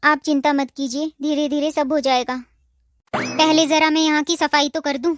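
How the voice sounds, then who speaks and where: A woman's voice: high voice, fairly even intonation, normal speed, no echo, clean studio sound, lightly acted. A woman playing a patient, devoted young wife in a cartoon moral story, speaking in a reassuring, respectful way. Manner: soft-hearted, reassuring, respectful.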